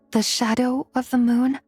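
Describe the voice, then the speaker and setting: A woman playing a lonely, forgetful ancient spirit in a video game, gentle, hesitant and enigmatic. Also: a little high, husky, full character voice. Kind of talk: English video game voice acting. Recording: music under the voice.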